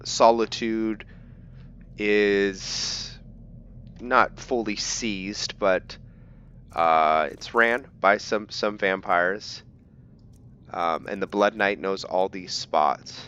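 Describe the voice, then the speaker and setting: A man's voice: fairly low pitch, drawn-out words, a bit bright. A man giving casual gameplay commentary as a host, rambling as he explains things step by step. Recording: dull lo-fi mic with faint noise.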